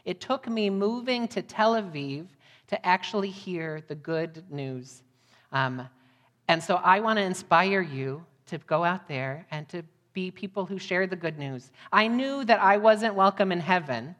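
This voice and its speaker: A woman's voice: low voice, fairly bright tone. An American woman giving a sermon to a congregation as a guest missionary preacher. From United States, Midwest. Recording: long hall reverb.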